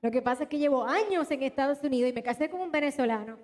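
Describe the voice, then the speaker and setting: Animated high-voiced woman pastor. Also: fast talker. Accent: mixed Latin American Spanish, little Puerto Rican. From Puerto Rico. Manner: animated, talks straight to the congregation. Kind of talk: live church sermon and announcements. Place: church hall.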